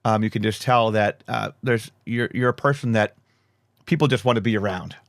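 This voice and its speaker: A man's voice: on the low side, fairly even intonation, normal speed, gravelly, no echo, studio quality. A man hosting a podcast interview, enthusiastic and hesitant, with many fillers. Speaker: podcast host. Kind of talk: casual interview chat.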